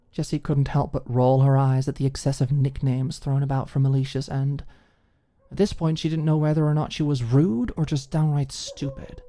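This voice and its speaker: A woman reads a story as an ASMR audiobook narrator. Her voice sits low and has a soft, mellow tone, with the measured delivery of scripted fiction.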